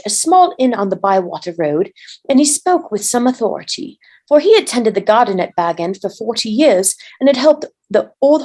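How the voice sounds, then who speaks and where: A woman's voice: fairly high pitch, a little mellow. A woman volunteer reading a story aloud as narrator.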